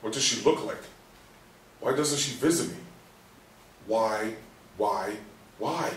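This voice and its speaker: An actor playing an angry, frustrated hospital patient in a stage play reading, anguished, demanding and given to swearing. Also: male voice, fairly low pitch, animated, takes it slowly. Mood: frustrated, pleading. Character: angry, frustrated male hospital patient trapped after a coma. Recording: roomy distant recording with faint noise.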